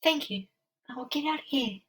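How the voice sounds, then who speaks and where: A man's voice: very high-pitched, normal speed, a bit bright, distant mic, slight background noise, voice pushed up. A man voicing an earnest, determined young superheroine in a dramatic comic-book reading. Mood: brisk, imperious.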